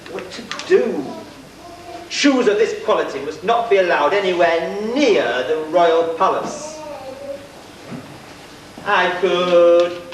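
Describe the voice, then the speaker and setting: High-pitched, scheming pantomime villain in echoey hall. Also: male voice, lively intonation. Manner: theatrical, drawn-out, grandiose. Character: scheming, pompous villainous shoemaker and gang boss. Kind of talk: amateur pantomime stage acting. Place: church pantomime stage. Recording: echoey hall, distant mic, hissy old video.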